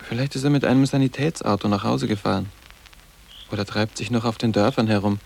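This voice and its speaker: A man's voice: on the low side, steady tone, fairly quick, gravelly, darkish tone, slight background noise. An actor playing a sarcastic, detached teenage boarding-school boy in a radio play, with terse, ironic and poetic phrasing. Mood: wry, musing. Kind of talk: 1980s German radio play acting.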